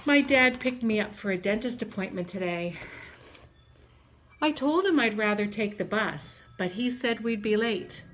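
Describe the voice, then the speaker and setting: A woman reading a children's storybook aloud like a teacher, in an expressive, deliberate storytelling manner. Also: lowish voice, slight background noise.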